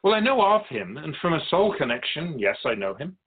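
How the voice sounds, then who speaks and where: A man playing a calm otherworldly being in a radio drama interview, explaining humanity to humans in a measured, knowing, didactic way. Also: fairly high pitch, slightly rough, phone-quality sound, heavily acted. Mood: calm, explanatory.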